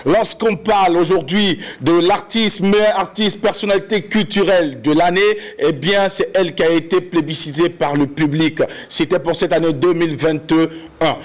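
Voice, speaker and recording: A man hosting a morning TV talk show in a studio, interviewing a guest with an animated, emphatic delivery. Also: a little high, moderate pace, few pauses. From Burkina Faso. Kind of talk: lively studio chat.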